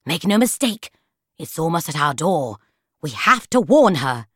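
A woman voicing a sharp, venomous female book of poisons in a children's story, hissing and insistent. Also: a bass voice, very animated, a little slow, gravelly, breathy edge, no echo, clean studio sound, pitched below the performer's own voice, heavily acted. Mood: urgent warning. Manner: hissing, biting, emphatic. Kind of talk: children's audiobook character voice.

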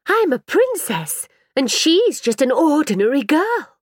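A woman's voice: high-pitched, wildly swooping pitch, a little slow, husky, dry recording, clean studio sound, pitched above the performer's own voice, exaggerated voice. A woman reading aloud and voicing a haughty little girl who believes she is a princess, lofty and indignant.

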